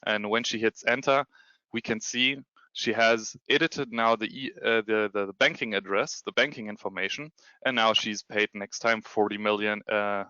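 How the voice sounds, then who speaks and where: A man's voice: lowish voice, steady tone, normal speed, bright, forceful tone, clean tone, no room sound, dull audio. A man with a German accent giving a webinar presentation with screen share, hesitant, with many ums and restarts. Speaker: security consultant presenting a demo.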